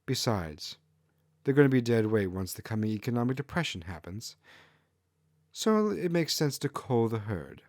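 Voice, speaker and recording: A man's voice: medium pitch, sing-song, moderate pace, a little mellow, no echo, clean studio sound. A man voicing an arrogant, callous female fox kitsune ruler in a haughty, dismissive manner for a fantasy story. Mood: haughty, scornful.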